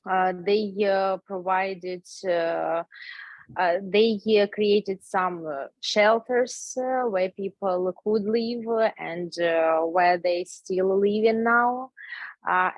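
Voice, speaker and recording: A woman from Ukraine with a Ukrainian accent, answering interview questions hesitantly in halting English, on dull lo-fi audio. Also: medium pitch, dry recording. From Kyiv, Ukraine. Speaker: interview guest, refugee aid worker.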